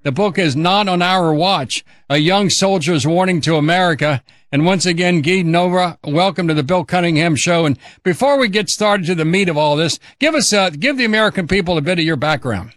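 A man's voice: high voice, brisk, a bit bright, dry recording. An older man hosting a political talk radio show, speaking emphatically and repeating phrases to drive points home.

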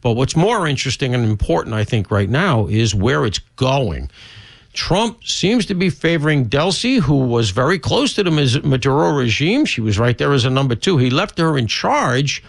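A man's voice: medium pitch, very animated, moderate pace, vocal fry, no echo. A male radio host interviewing a guest on a political talk show, chatty and opinionated.

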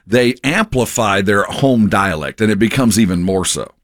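Lively low-voiced male radio host. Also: normal speed, no echo, studio quality. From Texas. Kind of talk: casual radio chat.

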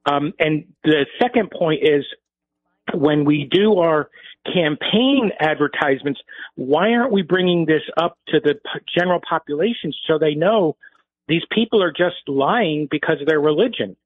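A man's voice: a little high, expressive, moderate pace. A man calling in to a radio show, speaking hesitantly with frequent ums over a phone line. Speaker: caller.

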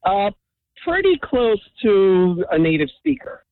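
Man, caller, native Hungarian speaker, easygoing, high voice, tinny phone audio. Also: big pitch swings, takes it slowly, creaky, dry recording. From United States.

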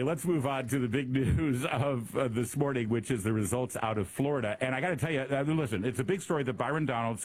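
A man's voice: mid-range voice, rough recording. A middle-aged man from the American Midwest with a Midwestern accent, hosting a political talk show with a sarcastic, mocking manner and frequent repeated words. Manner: sarcastic, mocking exaggerated pronunciations, repeats words when starting. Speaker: radio and podcast host.

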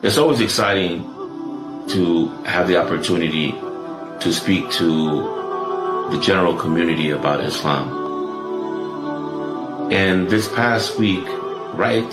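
Low-voiced, calm male community speaker. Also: echoey room, music in the background. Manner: measured, soft-spoken, calm. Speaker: speaker at an Islamic center. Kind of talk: address to the community.

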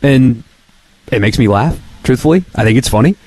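Man, sports radio host, lively pitch, dry studio sound, wry and opinionated. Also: normal speed, husky, no echo. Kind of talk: casual sports radio chat.

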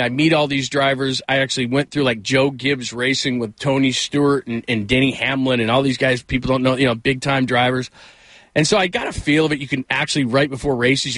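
A man's voice: mid-range voice, a bit bright, no echo. A man hosting a casual sports talk radio show, rambling conversationally through stories with self-deprecating asides. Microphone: close studio microphone.

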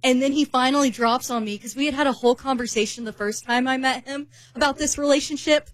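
A young woman in casual chat, telling an animated story and acting out the people she quotes. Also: high voice, quick pace, no room sound. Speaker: co-host telling a dating story.

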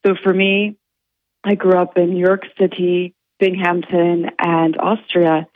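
A woman being interviewed as an artist on the radio, talking in a halting way with many fillers and restarts. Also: on the low side, level tone, dry recording. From United States. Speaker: guest artist. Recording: dry, phone-like line.

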